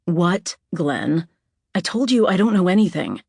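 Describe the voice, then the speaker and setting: Woman reader as a blunt, husky, defiant barmaid. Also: lowish voice, big pitch swings, unhurried, a little mellow, no room sound, clean studio sound, exaggerated voice. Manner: blunt, combative, profane. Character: defiant, tough female bar worker.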